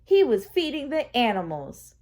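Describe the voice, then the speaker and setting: A woman voicing a stern, excitable male zookeeper in a children's story, emphatic and scolding. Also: fairly high pitch, normal speed. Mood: accusing.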